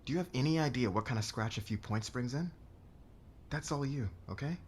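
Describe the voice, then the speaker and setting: A man playing a demanding, defensive boss with a hot temper and a hidden crush, halting and intense, in an ASMR romance roleplay. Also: mid-range voice, expressive, a little hiss or hum, lightly acted. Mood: pushy, insistent.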